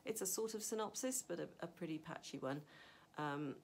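A woman's voice: low-pitched, lively intonation, normal speed, a little mellow, a little hiss or hum. A middle-aged woman novelist talking to camera from her home study, chatty and thinking aloud with fillers and restarts. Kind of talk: casual advice talk to camera. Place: home study with a map on the wall.

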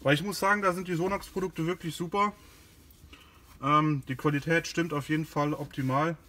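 A man's voice: on the high side, fairly even intonation, fairly quick, bright, forceful tone. A man giving a casual product demonstration as a car care host, informal and a little hesitant while he works. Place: inside and beside a car in a garage.

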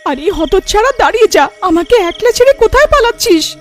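A woman's voice: very high voice, big pitch swings, a little fast, soft background music, voice pushed up. A woman voicing a frightened, scolding village wife in a horror cartoon, wailing and pleading. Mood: indignant panic.

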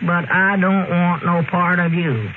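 An actor playing an excitable, teasing old country storekeeper in a folksy rural Southern accent, in classic radio comedy style. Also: male voice, sits high, a little slow. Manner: folksy, rambling, giggly. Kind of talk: 1930s-40s radio comedy acting. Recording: scratchy, narrow-band old radio recording.

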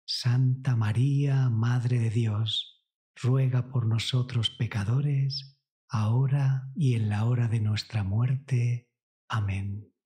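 A man reading a scripted devotional meditation in a solemn, measured, reverent way. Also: medium pitch, steady tone, dark tone. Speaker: narrator of a guided prayer meditation. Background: music.

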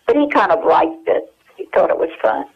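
Old american lady on the phone, muffled lo-fi sound, kinda halting, searching her memory as she chats. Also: mid-range voice, sing-song, gravelly, breathy edge. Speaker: interview guest. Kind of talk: casual reminiscing interview. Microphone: telephone. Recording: dull, lo-fi telephone hook-up.

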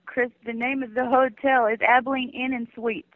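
Female hotel receptionist, high register, heard over a narrow phone line. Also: little pitch movement, normal speed, no room sound. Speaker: hotel front desk clerk. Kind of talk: customer service phone call. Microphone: telephone. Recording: dull lo-fi telephone line.

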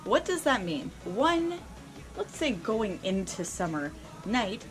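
A young woman vlog host telling a personal story casually and unscripted, with theatrical emphasis and dramatic pauses. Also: mid-range voice, sing-song, soft background music. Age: young adult. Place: small indoor room lined with shelves.

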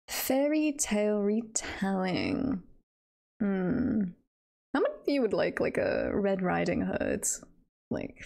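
Playful woman streamer with creaky voice. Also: mid-range voice, a little mellow. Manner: giggly, playful, rambling with trailing-off thoughts. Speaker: streamer and voice roleplayer chatting with viewers. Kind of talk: casual live stream chat.

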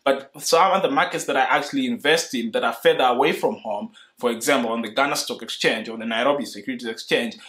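A young man from Zimbabwe, explaining his investing approach to camera in an earnest, measured way, seated indoors. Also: mid-range voice, a bit bright. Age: young adult. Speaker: investing YouTuber. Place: indoor room in front of a leather sofa.

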